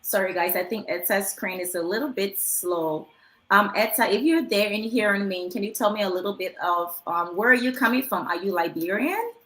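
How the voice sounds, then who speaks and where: A woman's voice: medium pitch, moderate pace, fairly bright tone, roomy sound. A woman moderating an online panel, asking guests polite questions over a video call, with frequent fillers.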